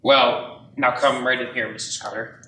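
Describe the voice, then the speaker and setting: A man playing an excitable, warm-hearted small-town family man in an amateur radio play. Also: medium pitch, moderate pace, lightly acted. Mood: friendly. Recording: echoey room, distant mic, background noise.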